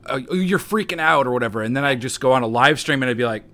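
Calm man with a hesitant, filler-heavy delivery. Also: medium pitch, fast. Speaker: guest, online personality. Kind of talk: casual conversation.

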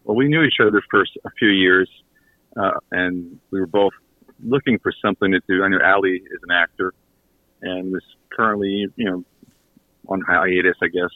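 A man chatting casually as a guest in a radio interview, halting as he searches for his words. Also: deep voice, fairly even intonation. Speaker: guest, audio theater creator and writer. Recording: echoey, tinny phone-line connection.

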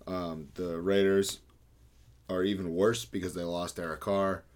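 Deep-voiced man giving laid-back sports takes. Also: little pitch movement, measured pace, slight background noise. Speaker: podcast co-host. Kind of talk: casual sports chat.

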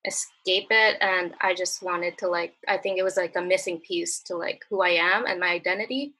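Bright-voiced woman, halting and casual. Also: on the low side, fairly even intonation, low-quality recording. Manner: hesitant, full of "like" and "um". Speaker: guest, artist and photographer. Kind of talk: casual chat.

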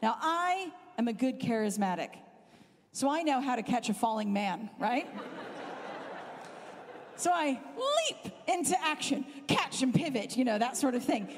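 A middle-aged woman with a Southern Californian accent softened by years in the UK, preaching as a guest speaker and telling a funny story about herself. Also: sits high, measured pace. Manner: animated, self-deprecating, laughs often. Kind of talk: humorous storytelling sermon. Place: church hall. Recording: hall reverb from a live church talk.

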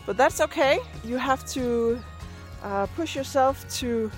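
Higher-voiced Danish woman chatting casually. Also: slow pace, soft background music. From Denmark. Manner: hesitant, searching for words. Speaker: video and podcast creator. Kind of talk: casual vlog chat. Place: outdoors, on a walk. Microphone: handheld device at arm's length.

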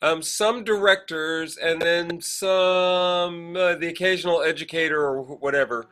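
A man with an American accent hosting a casual chat, animated and given to acting out quoted remarks. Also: fairly high pitch, measured pace, soft background music. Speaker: podcast host, actor and director.

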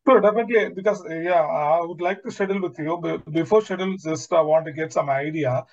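A man's voice: fairly high pitch, fast, darkish tone. A man calling in for advice, hesitant and stumbling over his words as he explains. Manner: hesitant, stammering. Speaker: caller asking for advice. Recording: muffled lo-fi call, distant from mic.

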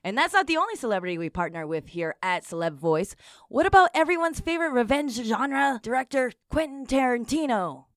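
Lady acting a chipper infomercial spokeswoman, smooth clear highish voice, fake radio ad. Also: big pitch swings, fairly quick, dry recording. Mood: salesy. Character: chipper woman pitching as celebrity spokesperson. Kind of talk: infomercial spoof, scripted sales pitch.

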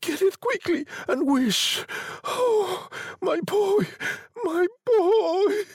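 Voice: sits high, big pitch swings, takes it slowly, a little mellow, no room sound, clean studio sound, pitched above the performer's own voice, heavily acted. A storyteller voicing a desperate, grief-maddened old woman who pleads wildly in a dramatic story reading. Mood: frantic grief.

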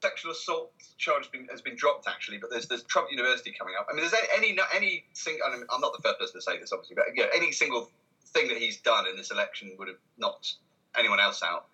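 A man's voice: mid-range voice, animated, fast, pushed and bright, dull audio. A man talking casually as an interview guest, in a hesitant, rambling way, often breaking off and restarting his sentences. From Britain. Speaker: interview guest, comedy writer.

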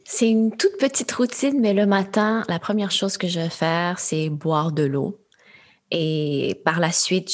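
A young woman answers questions in a measured, reflective way, often pausing with soft fillers. Her voice sits a little low and carries a faint husky breathiness. Her is Khmer. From Quebec, Canada. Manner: thoughtful, measured, with frequent fillers. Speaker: guest, AI entrepreneur. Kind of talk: interview conversation.

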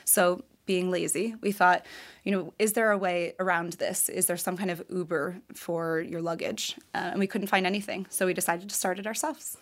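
Female founder, lower register, clear and articulate storyteller. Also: steady tone, normal speed. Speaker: MBA student, start-up founder. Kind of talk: interview answers.

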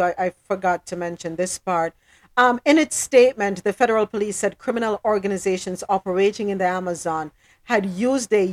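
Measured woman hosting a news discussion. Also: medium pitch. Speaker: host. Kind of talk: news discussion, commentary and reading reports.

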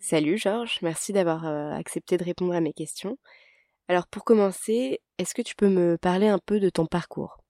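A woman's voice: fairly low pitch, moderate pace, dark tone, soft background music. A woman asking conversational questions as an interviewer.

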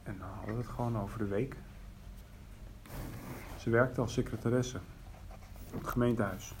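An actor playing an older widowed father who talks plainly and haltingly about his missing daughter in a fiction podcast. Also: lowish voice, steady tone, darkish tone, a little hiss or hum, close to an everyday voice. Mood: subdued grief. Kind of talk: fiction podcast, naturalistic interview acting.

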